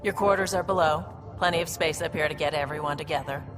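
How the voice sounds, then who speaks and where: A woman playing a brisk, confident, streetwise alien ship provisioner in a video game. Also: low-pitched, steady tone, music in the background, lightly acted. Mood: proud, businesslike. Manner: brisk, matter-of-fact, streetwise. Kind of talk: video game cutscene acting.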